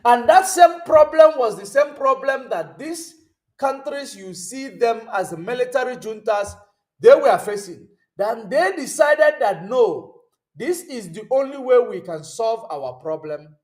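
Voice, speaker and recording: A man's voice: very high-pitched, expressive, unhurried. A man hosting a political commentary livestream, rambling and answering viewer comments as he goes, often stopping to search for words. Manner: rambling, searches aloud for words with many ums. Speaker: host.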